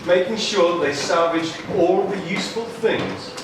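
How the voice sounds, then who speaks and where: A man narrates a live children's puppet show with wry storytelling. His fairly high voice comes from far off in a very echoey hall, with clear background noise. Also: expressive, moderate pace.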